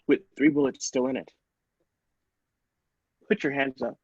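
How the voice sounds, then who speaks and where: A woman's voice: rumbling low, flat delivery, measured pace, darkish tone, breathy edge, no echo, dull audio, voice pushed down, heavily acted. A woman playing an alarmed but defiant doctor in a classic radio play, speaking with dramatic emphasis. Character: alarmed, defiant doctor facing a murderous robot.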